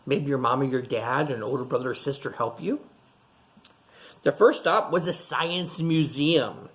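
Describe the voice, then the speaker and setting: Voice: mid-range voice, a little slow. A storytime reader going through a picture book aloud for young children, with simple explanatory asides.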